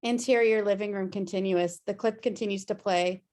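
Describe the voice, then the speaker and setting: A woman's voice: mid-range voice, steady tone, normal speed, a little mellow. Woman reading screenplay directions at a table read, mid-range voice, narrator style.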